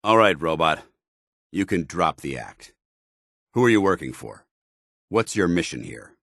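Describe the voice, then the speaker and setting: A super deep american paladin soldier for a game, husky, stern n formal, dry close sound. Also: male voice, big pitch swings, measured pace, a bit bright, dry recording, heavily acted. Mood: tense, commanding. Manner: clipped, formal, authoritative military diction. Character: stern, principled military paladin, loyal soldier of a brotherhood. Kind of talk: video game companion dialogue.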